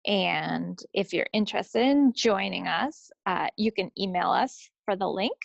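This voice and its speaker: A woman's voice: mid-range voice, animated, measured pace, vocal fry, no echo, highs cut off. A woman co-hosting a hobby podcast, talking in a casual, chatty style. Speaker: co-host of a knitting video podcast. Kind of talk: casual chat.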